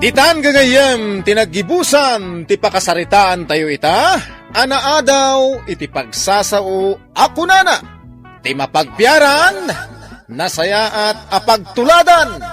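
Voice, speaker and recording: A man's voice: high-pitched, big pitch swings, slow pace, fairly bright tone, faint music behind. A man with an Ilocano accent delivering a dramatic scripted introduction as a radio program announcer.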